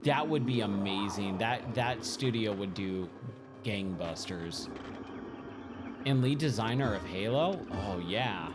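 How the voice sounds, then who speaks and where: A hoarse man chatting casually while he streams himself playing pinball, thinking aloud and getting sidetracked mid-sentence. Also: fairly low pitch, expressive, slow. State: hoarse, scratchy voice. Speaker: game streamer. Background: game music.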